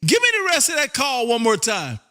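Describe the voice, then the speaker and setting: A high-voiced American man works the ham radio as host. He is loud and chummy, talks in a folksy, casual way and peppers his sentences with "man". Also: huge pitch swings, fairly quick, a little hiss or hum. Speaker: amateur radio operator and livestream host.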